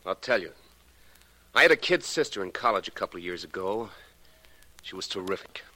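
An actor playing a slangy, embittered Hollywood screenwriter with a wry manner in 1940s radio drama style. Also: male voice, low voice, lively intonation, a little fast, pushed and bright, no room sound, exaggerated voice, rough recording. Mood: bitter, recounting.

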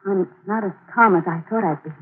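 A woman's voice: mid-range voice, fast talker, breathy edge, natural voice. A woman in a 1940s radio drama playing a nervous young accomplice, anxious and breathless. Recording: tinny old radio broadcast with hiss.

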